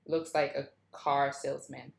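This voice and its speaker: A woman's voice: very deep voice, little pitch movement, measured pace, creaky, a little hiss or hum. A woman narrator reading screenplay stage directions aloud. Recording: roomy, echoing sound.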